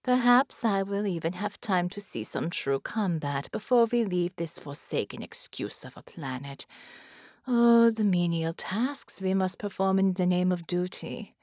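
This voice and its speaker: A bored, haughty alien giantess commander, voiced by a woman narrator. She drawls with hushed, languid contempt, with lively swings in pitch. The sound is close and dry. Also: measured pace, no echo. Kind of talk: audiobook narration.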